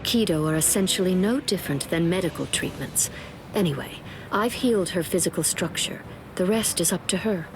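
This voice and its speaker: Woman playing a low-voiced, serene healer captain. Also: fairly quick, noisy background, natural voice. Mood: serene, detached. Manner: polite, composed, formal. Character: serene, composed female healer captain, quietly detached. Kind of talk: anime game cutscene acting. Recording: loud music behind.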